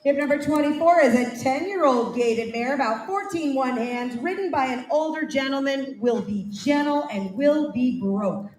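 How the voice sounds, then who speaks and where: A woman with a Midwestern American accent reading out horse descriptions at a sale in a brisk, matter-of-fact way. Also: sits high, darkish tone, slight background noise. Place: livestock sale barn. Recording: echoey barn from far off.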